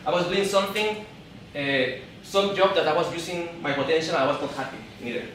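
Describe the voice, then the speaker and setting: A man's voice: on the high side, a bit bright, distant mic, a little hiss or hum. Peruvian man talking on stage in an echoey hall. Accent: Peruvian Spanish. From Peru. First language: Spanish. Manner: halting, repeats and restarts words, laughs along with the crowd. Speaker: event speaker. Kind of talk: live stage talk to an audience. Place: event hall.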